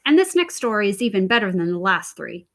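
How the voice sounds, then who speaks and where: A woman voicing Jack, a boastful, bossy boy narrator in a storybook, talking fast and pushing ahead. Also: medium pitch. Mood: eager. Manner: pushy, excitable, talks fast.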